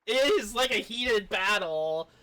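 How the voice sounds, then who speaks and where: A woman's voice: medium pitch, animated, slow pace, fairly bright tone, rough recording. A woman streaming a game, giving casual, chatty commentary as she plays.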